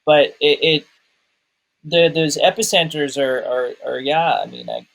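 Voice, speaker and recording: Casting: man, slightly high voice, informal podcast talker, halting, self-restarting delivery. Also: steady tone, vocal fry, fairly bright tone. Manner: halting, repeats words as he searches for phrasing. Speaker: podcast guest reviewer, documentary maker. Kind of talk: casual personal chat.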